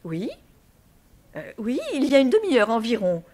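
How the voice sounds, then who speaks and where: An actress playing a chatty, naive and effusive bourgeois wife in a French radio mystery. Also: female voice, fairly high pitch, big pitch swings, normal speed, a little mellow. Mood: puzzled, chatty. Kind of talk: 1950s-style French radio mystery acting.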